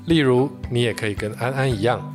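Very low-voiced Taiwanese woman hosting for children. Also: fairly quick, over music. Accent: Taiwanese Mandarin. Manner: gentle questions in simple, child-directed phrasing. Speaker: children's story podcast host. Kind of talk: friendly interviewing and hosting for children.

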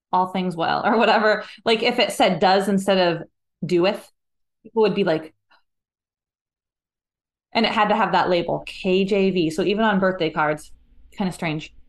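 A woman's voice: fairly low pitch, unhurried, long pauses. Thoughtful woman explaining in casual chat. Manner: thoughtful, explanatory, frequent "like" fillers. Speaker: podcast guest. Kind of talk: casual conversational interview.

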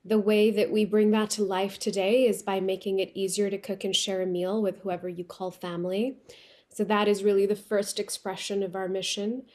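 A woman's voice: medium pitch, little pitch movement, moderate pace, darkish tone. A woman from Pakistan whose first language is Urdu, a company founder speaking as a guest in a remote interview and telling her story with frequent restarts. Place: remote call from home.

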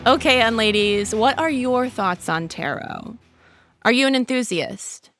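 A woman's voice: a little high, expressive, a little slow, music in the background. A woman hosting a podcast, chatting in an upbeat way and speaking straight to her listeners. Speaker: podcast host. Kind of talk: casual podcast outro.

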